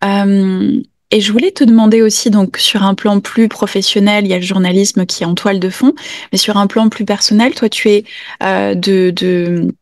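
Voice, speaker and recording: A woman's voice: mid-range voice, fairly even intonation, moderate pace, darkish tone, no echo, clean studio sound. A woman hosting a casual, reflective podcast interview, meandering as she searches for her words.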